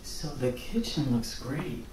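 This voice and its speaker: An American man in his thirties doing a hushed, casual ASMR roleplay as a friendly host, talking hesitantly up close. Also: mid-range voice, animated, takes it slowly, very noisy. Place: small furnished bedroom.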